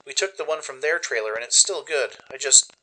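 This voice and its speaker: A man's voice: medium pitch, a little fast, pushed and bright, voice pushed up, low-quality recording. A man voicing an earnest, nervous young pony filly with a hesitant delivery in a radio play.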